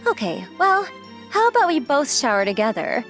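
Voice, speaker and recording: A woman voicing a relaxed, playfully teasing, girlish young model in a manga dub. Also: high voice, normal speed, faint music behind. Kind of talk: acted anime-style dialogue.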